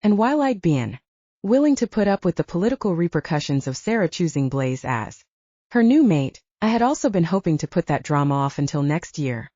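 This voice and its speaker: Female audiobook narrator, soft dark tone with lively pitch movement, close dry sound. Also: dry recording, muffled recording. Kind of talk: scripted first-person fiction narration.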